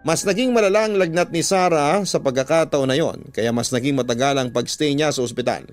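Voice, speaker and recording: A man with a Filipino accent narrates a first-person story in a scripted, dramatic and measured radio storytelling style. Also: fairly high pitch. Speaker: radio drama host narrating listener letters. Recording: music bed behind the voice. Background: background music.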